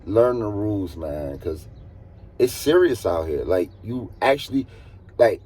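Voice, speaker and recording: A man with a husky voice, talking casually as a truck driver giving earnest, emphatic advice and repeating points for stress. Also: on the low side, very animated, a little slow, mellow. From United States. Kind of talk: casual advisory monologue.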